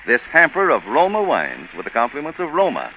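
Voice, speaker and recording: A man's voice: medium pitch, wildly swooping pitch, a little fast. A man announcing in polished 1940s radio style, with emphatic, scripted salesmanship. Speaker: radio announcer and sponsor pitchman. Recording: tinny, hissy old radio broadcast.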